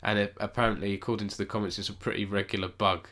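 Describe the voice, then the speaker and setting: Casual male stream host, slightly low, nasal with a cold, chatty and rambling. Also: flat delivery, racing, a bit bright. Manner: rambling, self-correcting, chats with viewers. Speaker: esports news host. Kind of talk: casual live-stream news roundup.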